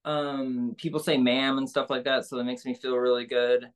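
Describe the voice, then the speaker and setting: A woman singer with a low speaking voice, talking candidly and loosely with many fillers in an interview. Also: flat delivery, normal speed, clean tone. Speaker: singer-songwriter guest. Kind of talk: casual interview conversation.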